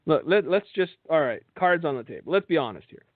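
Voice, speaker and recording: Male religious broadcast host, slightly high voice, sardonic monologue with pointed rhetorical questions, thin phone-like sound. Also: expressive, fairly quick. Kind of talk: political and religious monologue commentary. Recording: thin phone-like band, echoey room.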